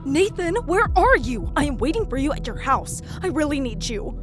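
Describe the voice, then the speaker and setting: Woman doing a clingy young model girlfriend, super high voice, melodramatic pleading, romance audio drama. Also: sing-song, moderate pace, music in the background. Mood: needy.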